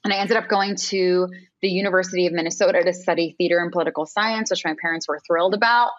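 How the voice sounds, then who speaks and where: A young woman from Lebanon talks freely as a guest in a video call interview, circling back with fillers and asides. Her voice sits very low, and the dull, lo-fi call audio makes it sound dry and close. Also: steady tone, quick pace, dry recording. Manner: chatty, with frequent fillers and asides. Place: home room with window.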